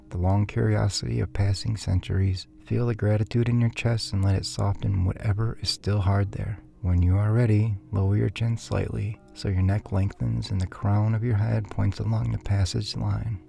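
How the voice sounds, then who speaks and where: A man softly narrating a scripted guided sleep meditation in a soothing, measured, breathy way. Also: on the low side, flat delivery. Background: faint background music.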